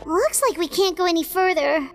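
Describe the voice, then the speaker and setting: A woman voicing a tiny floating girlish companion who is excitable and chatty and calls herself by her own name, in game dialogue. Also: extremely high pitch, moderate pace. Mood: excited. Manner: speaks of herself in the third person. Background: soft game music.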